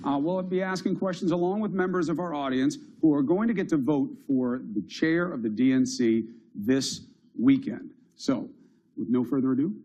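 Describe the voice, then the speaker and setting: A man moderating a televised debate, briskly laying out the ground rules. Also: on the high side, dull audio. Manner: brisk, energetic announcing.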